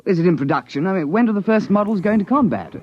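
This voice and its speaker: An actor playing a stuffy, gullible British army lieutenant, flustered and excitable, in a 1950s radio drama. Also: male voice, high voice, rapid-fire. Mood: alarmed, incredulous. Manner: flustered, indignant, hesitant. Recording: muffled, noisy old radio broadcast.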